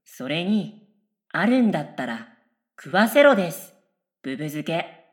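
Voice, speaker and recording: A woman playing an oddly polite, daydreaming middle-school girl in a radio comedy, musing absurdly in earnest, deadpan, polite speech. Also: on the low side, a little slow. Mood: matter-of-fact curious. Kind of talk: scripted radio comedy dialogue.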